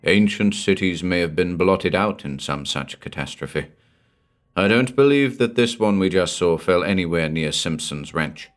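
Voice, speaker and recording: A man reading a reflective, measured gentleman. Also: low-pitched, animated. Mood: musing.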